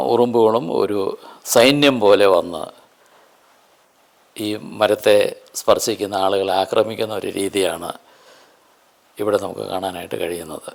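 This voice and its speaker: A man presents an unscripted nature explanation in deliberate, halting phrases with emphatic stresses. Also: on the low side, gravelly.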